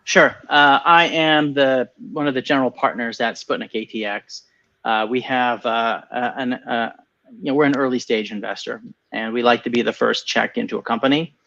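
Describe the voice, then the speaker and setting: A man's voice: medium pitch, fairly even intonation, moderate pace, vocal fry, bright, forceful tone, dull audio. A man in a casual webinar conversation, talking with enthusiasm and repeating himself as he starts sentences. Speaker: venture capital partner and co-host.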